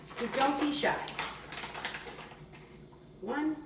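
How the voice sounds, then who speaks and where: A woman with a fairly high voice leads a cooking lesson for children at a very unhurried pace. Her wording is simple and encouraging, explained step by step. She sounds some way from the microphone in an echoey room. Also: animated. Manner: simple, encouraging, instructional talk aimed at children. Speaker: teacher demonstrating a recipe for children. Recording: distant mic, echoey room, faint noise.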